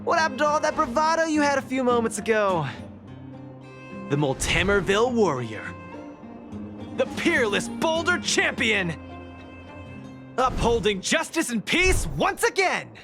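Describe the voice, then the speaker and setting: An eager young fighter from a video game, very animated in pitch. He is earnest and boastful, with theatrical champion flair, and music plays behind him. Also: measured pace, pauses often, full character voice. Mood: triumphant. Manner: enthusiastic, theatrical.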